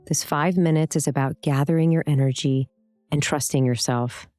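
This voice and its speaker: A meditation instructor speaks in a smooth, clear voice with a dark, relaxed tone. The delivery is gentle and soothing, with long pauses between phrases. The sound is dry, with no room around it. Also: mid-range voice, no echo, soft background music. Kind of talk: guided meditation, scripted.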